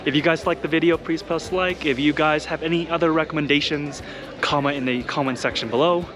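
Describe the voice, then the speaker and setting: A man's voice: a little high, steady tone, fairly quick. Chatty man vlogging over music. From Thailand. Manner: chatty, loose, full of "like" and "you guys". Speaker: travel vlogger. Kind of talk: casual travel vlog. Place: outdoors in city streets.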